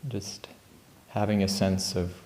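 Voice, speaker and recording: A man leading a guided meditation as a teacher, speaking calmly and gently with unhurried pauses between phrases. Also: low-pitched, echoey room, a little hiss or hum. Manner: calm, unhurried, gentle instruction with long pauses. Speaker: meditation teacher at a Buddhist monastery. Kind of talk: guided meditation, unscripted spoken instruction. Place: indoor room at a monastery.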